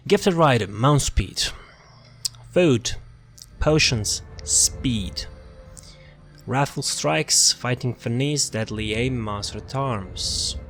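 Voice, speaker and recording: A man's voice: mid-range voice, slow pace, a bit bright. A man giving casual, off-the-cuff video game commentary as he presents a build guide, rambling and repeating his phrases. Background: music.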